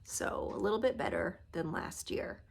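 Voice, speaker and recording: A middle-aged woman with a nasal voice from a cold, chatting casually to camera in her living room, trailing off softly at the ends of phrases. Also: lowish voice, wildly swooping pitch, normal speed, creaky, whispered. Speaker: reseller vlogger. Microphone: phone or camera close at arm's length.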